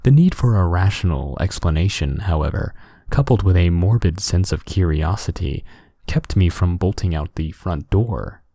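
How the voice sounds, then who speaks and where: Male narrator for bedtime horror tales: lowish, mellow voice, expressive pitch movement, close dry sound. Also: normal speed, no room sound. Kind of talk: scripted horror story narration, relaxing ASMR-like bedtime reading.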